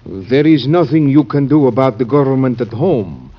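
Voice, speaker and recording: Vintage radio play, grave gentleman pleading his case; formal, medium pitch, muffled sound. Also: sing-song. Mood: earnest pleading. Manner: formal, deliberate, polite. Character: earnest, secretive Spanish gentleman, grave and pleading visitor. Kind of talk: old-time radio drama acting.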